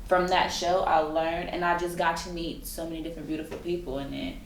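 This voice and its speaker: A woman with a slightly low voice speaks as a casual, informal interviewer. Her words carry noticeable room echo, and there is faint noise in the background. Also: steady tone, a little fast. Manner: informal, frequently affirming the other speaker.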